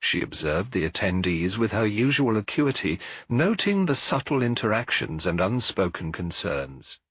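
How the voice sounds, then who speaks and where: Low, husky male mystery narrator. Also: sing-song, normal speed, fairly bright tone, no room sound. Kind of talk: scripted mystery audiobook narration.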